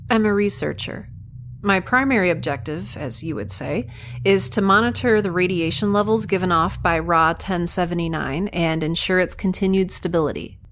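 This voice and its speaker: A woman's voice: fairly low pitch, moderate pace, fairly bright tone, slight background noise. A woman playing a captive young scientist in a science fiction audio drama, wry, plain-spoken and sarcastic as she bargains. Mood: guarded, bargaining.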